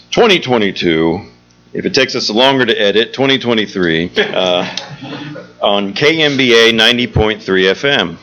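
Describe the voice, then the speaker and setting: A man's voice: fairly low pitch, fairly bright tone, slight background noise. Joking man hosting, dull live recording. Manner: joking, teasing, informal. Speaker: theatre executive director hosting a show. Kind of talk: live welcome speech to an audience. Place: theatre stage before a live audience.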